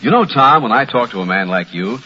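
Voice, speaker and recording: A man's voice: mid-range voice, lively intonation, brisk, bright, forceful tone, slightly rough. An American man announcing and selling in the style of a 1940s radio commercial. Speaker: radio announcer and sponsor spokesman. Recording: muffled old radio recording, faint hiss.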